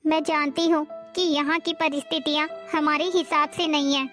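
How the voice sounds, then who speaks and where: A woman doing a gentle, long-suffering mother. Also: sits high, level tone, moderate pace, faint music behind. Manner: soft, soothing, encouraging. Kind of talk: animated family drama dubbing.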